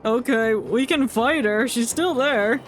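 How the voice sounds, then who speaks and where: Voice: medium pitch, a little slow, soft background music. A streamer giving casual, jokey commentary over a game.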